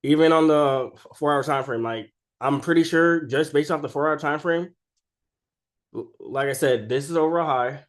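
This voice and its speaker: A man's voice: fairly high pitch, moderate pace. A man who is sick with a cold gives casual, unscripted chart commentary as a trading video creator, talking informally with slang and frequent check-in tags. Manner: informal and slangy, keeps tagging statements with "right?".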